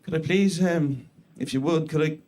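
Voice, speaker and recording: A man in his thirties with an Irish accent, doing a deadpan, self-mocking stand-up set in a comedy club. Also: fairly high pitch, moderate pace. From Ireland. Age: 30s. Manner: deadpan self-mocking delivery with crude punchlines. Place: comedy club stage before a live audience.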